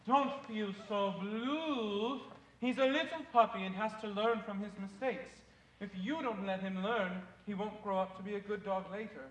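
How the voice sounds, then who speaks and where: A man voicing a calm, wise farm animal who speaks in a gentle, reassuring way in a children's story. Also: sits high, normal speed, reverberant, natural voice, poor audio.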